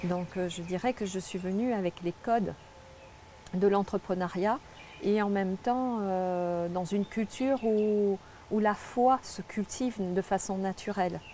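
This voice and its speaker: Warm-voiced woman from French Guiana. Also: mid-range voice, unhurried, a little hiss or hum. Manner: earnest, reflective, many restarts and fillers. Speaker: interview guest, spiritual guide. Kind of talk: personal testimony in conversation.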